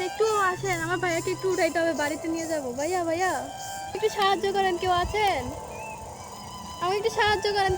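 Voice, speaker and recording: A woman playing a protective, anxious elder sister with a Bangladeshi accent, talking urgently in an amateur skit. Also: very high-pitched. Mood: distressed, urgent. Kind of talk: amateur horror-comedy skit acting. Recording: noisy location sound with ambience.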